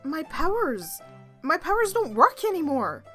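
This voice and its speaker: A woman's voice: high-pitched, wildly swooping pitch, a little slow, a bit bright, soft background music, exaggerated voice. A woman playing a feisty, exasperated teenage magical girl in a fan dub. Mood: dismayed, exasperated. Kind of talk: fan dub character acting.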